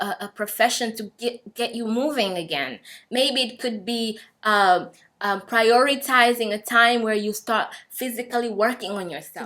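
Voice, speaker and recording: Young woman, Swahili native speaker, slightly high voice, sincere encouraging vlog chat. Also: a bit bright. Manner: earnest, encouraging, many fillers and restarts. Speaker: fitness vlogger. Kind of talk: casual talk to camera.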